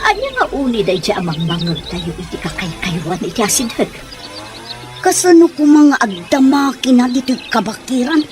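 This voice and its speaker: A worried young daughter speaks in a radio serial forest scene. Her voice is slightly high, with prominent music behind it. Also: very animated, moderate pace. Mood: worried, alert. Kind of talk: radio drama acting.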